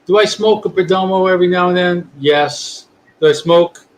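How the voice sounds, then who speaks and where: A man's voice: high-pitched, moderate pace, slight background noise. Chatty New man hosting. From New York. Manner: rambling, relaxed, many restarts. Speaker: podcast host. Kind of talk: casual chat. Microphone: video call.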